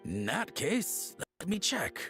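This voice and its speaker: A man's voice: high voice, huge pitch swings, slow pace, bright, forceful tone, faint music behind, exaggerated voice. A man voicing a polite, businesslike shopkeeper in a video game, formal and courteous.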